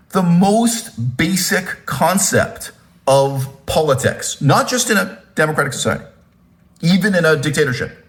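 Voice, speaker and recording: A man giving a political commentary monologue to viewers, lecturing emphatically, stressing key words with sharp pitch jumps and checking in with "right?" and "okay?". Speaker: channel host.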